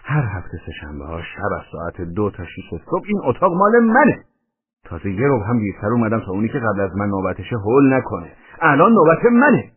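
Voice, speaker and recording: A man's voice: on the low side, huge pitch swings, slightly rough, heavily acted. A man voicing a brash, blustering, argumentative male intruder, on a tinny, band-limited recording. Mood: indignant.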